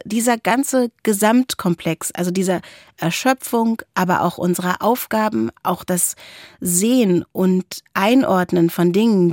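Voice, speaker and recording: A woman's voice: mid-range voice, darkish tone, no room sound. Woman podcast host, thoughtful, kinda searching for words, really dry studio-like sound. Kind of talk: reflective podcast conversation.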